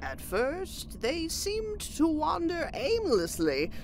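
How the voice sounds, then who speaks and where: A woman's voice: high-pitched, wildly swooping pitch, slow, background noise, full character voice. A woman playing an eccentric, cryptic hermit seer in a fantasy audio drama, theatrical and riddling.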